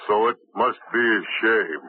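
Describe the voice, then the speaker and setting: Dim-witted brute sailor, slow and monosyllabic; thin old broadcast sound. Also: male voice, lowish voice, no echo. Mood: dull. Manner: dull, slow, answers mostly "Aye". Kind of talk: 1950s radio drama acting. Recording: tinny lo-fi old radio broadcast with faint hiss.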